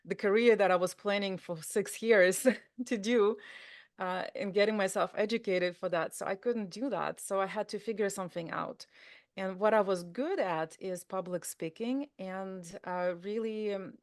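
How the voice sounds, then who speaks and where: A woman's voice: mid-range voice, moderate pace. A woman with a Russian accent, a native Russian speaker, talking casually as an interview guest, full of fillers and restarts. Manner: chatty, many fillers and restarts. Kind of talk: conversational interview.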